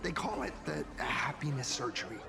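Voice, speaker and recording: An actor playing a shaken, anxious young would-be hero in a video game, speaking in a halting, hesitant way. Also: male voice, medium pitch, big pitch swings, creaky, music in the background, a crowd in the background. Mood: reluctant, uneasy.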